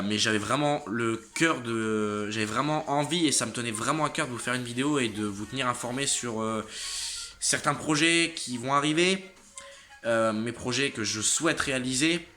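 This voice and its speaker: Tired teenage guy with a bright voice. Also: mid-range voice. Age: late teens. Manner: halting, trailing off and restarting sentences. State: exhausted and slightly congested. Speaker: motorcycle channel host. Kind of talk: casual vlog update to viewers.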